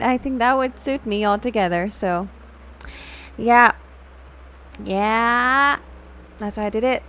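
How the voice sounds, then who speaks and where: High-pitched woman, scattered livestream chatter. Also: a bit bright. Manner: scattered, repeats words and restarts often; switches between English and Hindi mid-talk. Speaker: livestream host, VTuber. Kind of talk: casual livestream chat with viewers.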